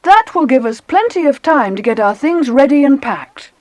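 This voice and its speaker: A woman playing a firm, cheerful mother in a children's audiobook drama, with a brisk, instructing manner. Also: sits high, lively intonation, darkish tone, no echo.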